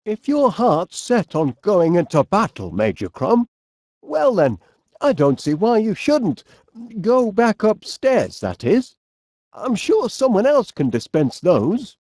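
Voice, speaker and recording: An actor playing a cheerful, eccentric middle-aged English inventor with a Northern English accent, chummy and old-fashioned in his phrasing, in an animated video game. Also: sits high, takes it slowly, no echo, studio quality, full character voice. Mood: politely encouraging.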